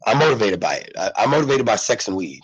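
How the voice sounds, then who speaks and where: A man's voice: fairly low pitch, very animated, quick pace, husky, no echo, highs cut off. A man hosting a casual live radio show, congested, rambling and repeating himself with many fillers. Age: adult parent.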